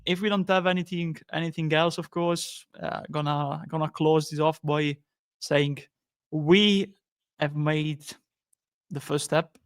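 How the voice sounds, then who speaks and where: Flat-toned man hosting a podcast. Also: on the high side. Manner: halting, many restarts, upbeat. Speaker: podcast host. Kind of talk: casual football podcast chat.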